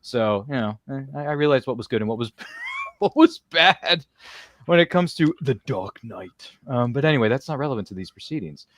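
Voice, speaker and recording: A middle-aged man hosts a casual live roundtable in a mid-range voice. He is animated and joking, full of mock exclamations, and the sound is dry with little room on it. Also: medium pitch, moderate pace, dry recording. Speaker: host of a writers' roundtable. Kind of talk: casual live panel chat with viewer comments.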